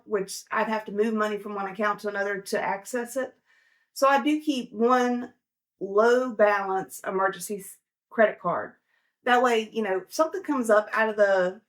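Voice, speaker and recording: A woman talks through financial advice in a relaxed, conversational way. She uses plenty of everyday fillers. Her voice sits a little high. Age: 40s. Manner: chatty, down-to-earth, frequent fillers. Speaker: personal finance host. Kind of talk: casual advice talk to camera.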